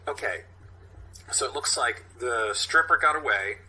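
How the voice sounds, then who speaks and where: Male podcast host, medium pitch with a sharp bright edge, casual talk and read-aloud narration. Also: expressive, a little slow, a little hiss or hum, rough recording. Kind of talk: casual chat and script reading.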